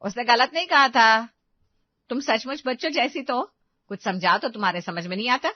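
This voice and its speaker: A woman's voice: a little high, lively intonation, moderate pace, no echo, pitched above the performer's own voice. A woman voicing a stern, bossy middle-aged mother-in-law in an audio story, lecturing and scolding. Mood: dismissive. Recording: slightly dull, dry recording.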